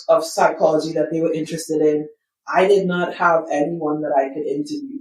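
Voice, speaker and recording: A middle-aged woman from Trinidad and Tobago with a Trinidadian accent, answering interview questions in an earnest, measured way and repeating words as she thinks. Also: lowish voice, a little mellow. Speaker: guest, school psychologist and business founder. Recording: roomy sound, distant from the mic.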